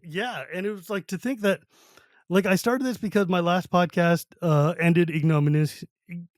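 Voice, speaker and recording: Middle aged guy hosting a podcast, kinda high voice, super dry close sound, laughs a lot and rambles. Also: fairly even intonation, normal speed, dry recording. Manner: laughs often, rambling with restarts. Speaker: podcast host. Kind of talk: casual chat.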